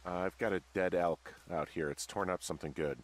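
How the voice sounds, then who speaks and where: An American actor playing a weary, uneasy fire lookout in a video game, speaking plainly in halting, muttered conversation. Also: male voice, low voice, steady tone, a little hiss or hum, lightly acted. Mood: uneasy, matter-of-fact. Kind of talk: naturalistic game dialogue.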